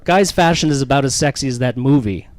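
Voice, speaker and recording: A man's voice: mid-range voice, brisk, no room sound. A man with a Canadian accent doing self-deprecating, ironic stand-up comedy and bantering with the audience.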